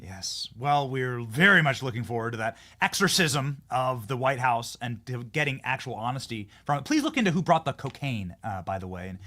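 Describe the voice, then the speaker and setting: Animated man hosting a political show. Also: medium pitch, normal speed, few pauses. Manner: animated, emphatic, repeats words when building a point. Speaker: show host. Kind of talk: political interview.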